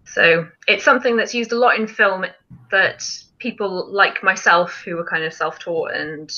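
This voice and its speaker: A woman's voice: medium pitch, normal speed, sharp tone, lo-fi sound. A woman hosting a casual live stream, chatting and explaining things in an informal way. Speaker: film challenge live stream host.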